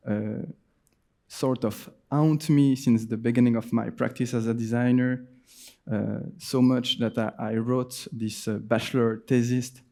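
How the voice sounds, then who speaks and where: A man's voice: medium pitch, dark tone. A man in his twenties with a Swiss French accent, giving a conference presentation with many hesitations and restarts. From Switzerland. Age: 20s. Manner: hesitant, frequent fillers and restarts. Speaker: designer giving a conference talk. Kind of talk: presentation talk.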